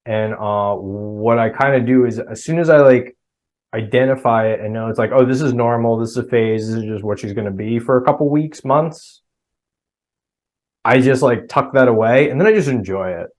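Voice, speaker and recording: A man's voice: mid-range voice, moderate pace, a little mellow. A middle-aged man with a New Jersey accent, talking casually as a podcast guest. He rambles and often restarts his sentences. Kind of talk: casual chat.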